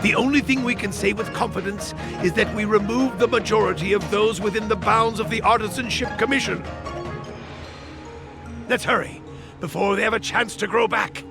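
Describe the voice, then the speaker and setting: An actor playing a flamboyant, earnest master artisan in a video game, with florid, old-fashioned phrasing and a theatrical manner. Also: male voice, sits high, moderate pace, gravelly, music in the background. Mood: concerned.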